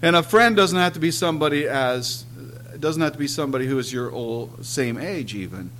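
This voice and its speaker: Earnest male preacher, throat-clearing. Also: on the high side, expressive, fairly quick, a bit bright, a little hiss or hum. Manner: often clears his throat, many filler "uh"s. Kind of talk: sermon with personal testimony.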